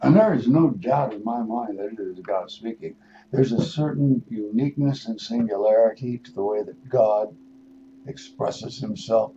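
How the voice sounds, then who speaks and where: An older man, in his sixties or beyond, hosting a radio show with a rambling spiritual monologue, musing and halting, then leaning into words for emphasis. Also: lowish voice, dark tone. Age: 60s or older. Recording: dull lo-fi radio audio with noise.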